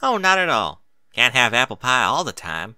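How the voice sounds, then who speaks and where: A man's voice: medium pitch, very animated, moderate pace, pushed and bright, no room sound. A man voicing a plain-spoken, hospitable female farm pony with a folksy, teasing manner in a story reading.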